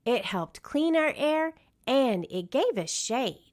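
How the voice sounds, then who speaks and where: Reader lady as a kind storybook farm woman explaining stuff, slow, lilting pitch. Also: big pitch swings, darkish tone, exaggerated voice. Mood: gentle, informative. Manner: explanatory, reassuring. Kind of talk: storybook character reading.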